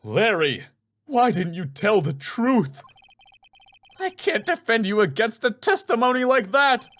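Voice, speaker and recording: Man putting on an excitable, nervous rookie lawyer. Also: very high voice, unhurried, voice pushed up, exaggerated voice. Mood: exasperated. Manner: stammering, flustered. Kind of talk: dramatic video game dubbing.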